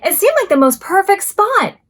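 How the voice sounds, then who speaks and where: A woman hosting a preschool storytime as a children's librarian, reading picture books aloud expressively with drawn-out words. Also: very high-pitched. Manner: expressive, drawn-out words and acted sound effects.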